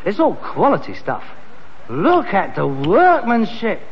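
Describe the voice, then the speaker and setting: An actor playing a boastful, exasperated son who deals in rag and bone, emphatic and cajoling, with a working-class London accent in a British sitcom. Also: male voice, sits high, wildly swooping pitch, slow pace, heavily acted. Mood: cajoling salesman. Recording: muffled, reverberant, hissy vintage sitcom recording.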